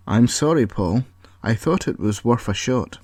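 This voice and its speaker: A man voicing a gentle, naive lab computer in an audio story, apologetic and reassuring. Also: medium pitch, expressive, unhurried, husky, dry recording, clean studio sound. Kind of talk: audio story character acting.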